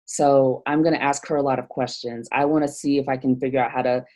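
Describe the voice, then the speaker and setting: A woman from Nigeria talks things through in an interview, pausing often and restarting her thoughts. Her voice sits very low and sounds mellow and dark. She speaks reflectively rather than with polish. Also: steady tone. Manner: thoughtful, hesitant, many fillers and restarts. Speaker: theologian guest.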